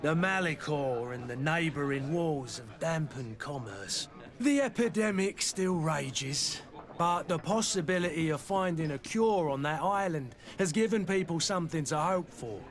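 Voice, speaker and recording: A fantasy game tavern keeper with a slightly high male voice. He is talkative and world-weary, telling things in a folksy, storytelling way. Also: expressive, measured pace. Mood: welcoming. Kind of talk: video game dialogue acting.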